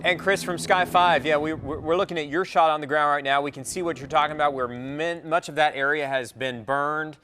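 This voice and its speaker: A man's voice: on the high side, steady tone, sharp tone, slight background noise. A man anchoring live news with unscripted commentary, often restarting and repeating words as he ad-libs. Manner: halting, repeats words while ad-libbing.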